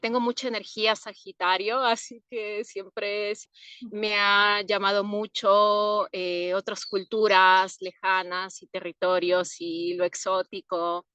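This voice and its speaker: A woman from Peru, an herbalist answering interview questions in an unhurried, thoughtful way with soft laughs. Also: medium pitch, level tone, clean tone, dry recording.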